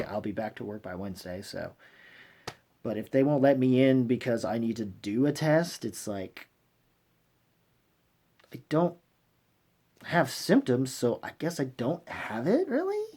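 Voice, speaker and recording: A man giving casual, rambling vlog commentary, haltingly repeating himself and trailing off mid-thought in informal language. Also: medium pitch, sing-song, dark tone. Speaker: vlogger hosting a fan channel. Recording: clearly noisy recording with clicks.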